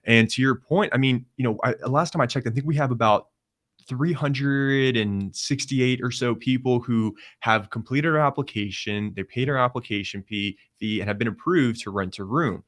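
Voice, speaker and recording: A man from Croatia, a guest on a casual podcast, talking about his company in an upbeat sales manner. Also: a bit bright. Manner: upbeat, rapid pitch-style talk.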